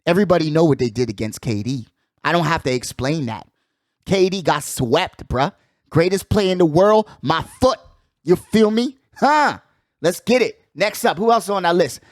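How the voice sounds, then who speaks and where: A man's voice: fairly high pitch, expressive, moderate pace, dry recording, studio quality. A man hosting a sports show with casual, slangy commentary, hyping his points and repeating phrases for emphasis. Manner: slangy, hype-man delivery with frequent check-in phrases.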